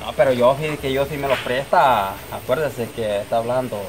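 A man's voice: medium pitch, moderate pace, music in the background, natural voice, poor audio. A middle-aged man playing a friendly, persuasive countryman in an amateur drama, rambling and repeating himself, recorded outdoors. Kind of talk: amateur acted family drama, improvised dialogue. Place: outdoors in a rural yard under trees. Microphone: camera a few metres away. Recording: outdoor recording with clear background noise.

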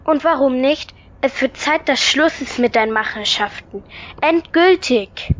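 An actress playing a villainess's bitter, defiant daughter in a radio drama. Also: female voice, high-pitched, unhurried, muffled recording.

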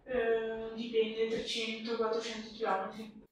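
A woman's voice: fairly high pitch, monotone, measured pace. A woman, casually answering questions as a patient during treatment. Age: 20s. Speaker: athlete patient. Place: small treatment room, indoors. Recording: very echoey room, clearly noisy background.

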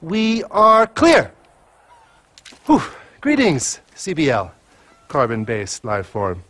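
High-pitched paranoid desert UFO nut. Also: male voice, huge pitch swings, slow, a bit bright, dry recording, full character voice. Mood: officious, jittery. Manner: jittery, pseudo-scientific, earnest. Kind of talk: video game cutscene dialogue.

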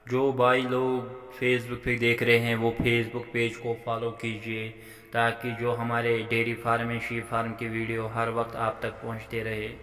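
Man, Kashmiri accent, monotone delivery, halting explanatory vlog narration, heavily reverberant indoor recording. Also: mid-range voice. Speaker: sheep farmer and channel host. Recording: echoey, reverberant room sound.

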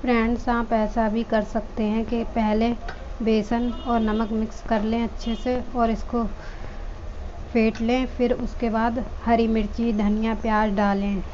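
A woman hosting a home cooking video, calmly explaining each step and addressing viewers as friends, recorded in her kitchen. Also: fairly high pitch, unhurried, lo-fi sound, a little hiss or hum. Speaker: home cooking channel host.

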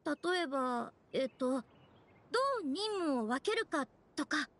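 High-voiced, timid anime girl. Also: a little slow, a little hiss or hum. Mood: humbled, grateful. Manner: hesitant, stammering, dramatic. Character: timid, flustered, self-deprecating young woman. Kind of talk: Japanese anime game voice acting.

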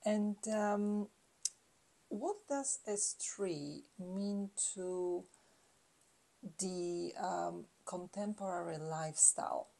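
A woman's voice: on the low side, extremely slow, gravelly, warm tone, poor audio. A woman asking conversational interview questions, hesitant and searching for words. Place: carpeted listening room, indoors.

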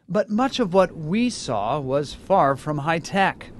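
A man's voice: a little high, expressive, slow, a little hiss or hum. A man with an American accent delivering scripted television news narration as a reporter.

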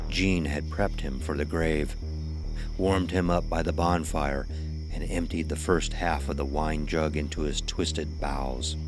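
Male narrator for scripted horror fiction, exceptionally deep register, backed by music.

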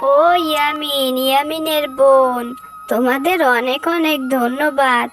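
A woman's voice: sits high, poor audio. A woman voicing a worried, grateful old village grandmother in a cartoon, with a plaintive, rustic way of speaking. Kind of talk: cartoon story acting.

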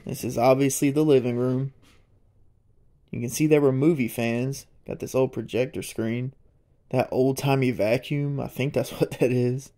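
Excited man exploring an old house. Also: medium pitch, normal speed. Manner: excited, marveling, chatty. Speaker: urban explorer vlogger. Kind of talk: casual walkthrough narration. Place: inside an abandoned old house.

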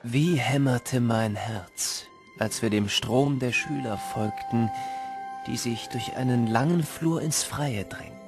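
A man's voice: mid-range voice, vocal fry. A man acting as a wonderstruck storyteller, narrating a storybook audio drama in the first person. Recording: loud music under the voice. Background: music.

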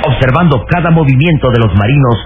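A man's voice: medium pitch, expressive, quick pace, noisy background. A man giving dramatic scripted narration for a radio adventure serial. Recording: tinny old radio, music behind.

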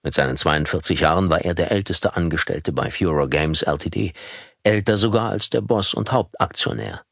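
Gravelly, husky male audiobook narrator. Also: deep voice, no echo, clean studio sound. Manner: calm, measured storytelling.

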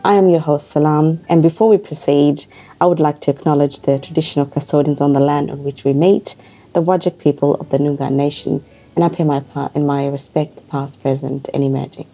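A woman with a notably low, dark and soft voice hosts a studio interview on a community channel. She speaks formally and keeps nodding along with short affirmations, while faint music sits underneath. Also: low-quality recording. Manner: warm formal welcomes, frequent affirming interjections. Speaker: talk show host. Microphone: table microphone.

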